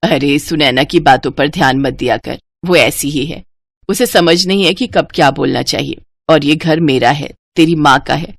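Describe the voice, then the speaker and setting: A woman voicing a gentle, older widowed mother in a cartoon, calm and soothing. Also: low voice, moderate pace, voice pushed down. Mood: consoling. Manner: calm, consoling.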